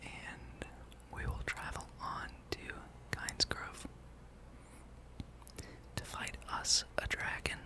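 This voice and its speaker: A person speaking in a breathy, unvoiced whisper, giving rambling, playful ASMR commentary while playing a video game. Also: slow, pauses often. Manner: hushed, playful musing, reads game dialogue aloud. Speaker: gameplay streamer.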